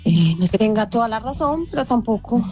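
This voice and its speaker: A woman leaving a friendly voice note for a radio show, pausing to search for words. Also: fairly high pitch, animated, dark tone, faint music behind. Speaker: caller. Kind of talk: call-in voice note.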